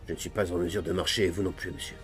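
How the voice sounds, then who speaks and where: A man's voice: low-pitched, fairly even intonation, rapid-fire, soft background music, lightly acted. A man playing an elderly pious ex-convict priest with absurdly formal, mock-solemn phrasing in improvised game roleplay. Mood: formal, insistent. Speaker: gaming roleplay streamer. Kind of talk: improvised comic roleplay narration.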